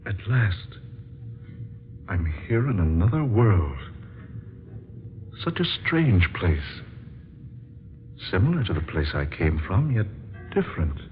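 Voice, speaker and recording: Deep-voiced, grave radio scientist. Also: male voice, big pitch swings, exaggerated voice. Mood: awed. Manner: deliberate, formal, grave. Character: determined male scientist shrinking himself with his potion. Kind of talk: old-time radio drama acting. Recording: dull lo-fi old radio recording, music.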